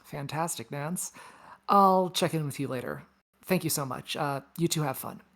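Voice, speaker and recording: A woman plays a space probe who acts like an older sister. The voice sits low for a woman. The delivery is casual, teasing and sardonic, in the style of a science fiction table read. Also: moderate pace. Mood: breezy, friendly. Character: wry, breezy big-sister space probe, female.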